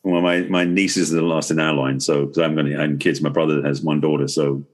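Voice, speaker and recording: Man, English accent, extremely low husky voice, brisk pace, casual reflective podcast guest. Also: flat delivery. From England. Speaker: podcast guest, relationship expert and author.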